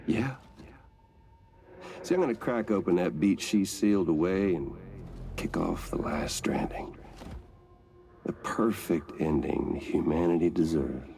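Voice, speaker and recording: An actor playing a gloating, theatrical, vengeful villain in a video game, with a gravelly American Southern drawl that dips into whispers. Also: male voice, low-pitched, measured pace, pauses often, warm tone. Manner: theatrical, taunting, savouring each word. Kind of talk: cinematic video game acting.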